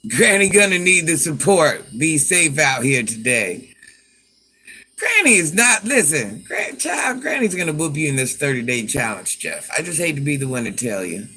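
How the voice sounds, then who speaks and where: Deep-voiced granny streamer, sassy, bright forceful delivery. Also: female voice, sing-song, normal speed, husky. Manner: sassy, talks back to commenters by name. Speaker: livestream host. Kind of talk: casual livestream chat.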